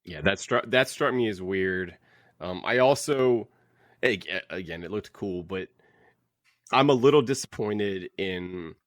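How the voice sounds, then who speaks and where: Lower-voiced man in casual podcast chat. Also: fairly bright tone, no echo, clean studio sound. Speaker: podcast co-host. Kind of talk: casual panel chat about a TV trailer.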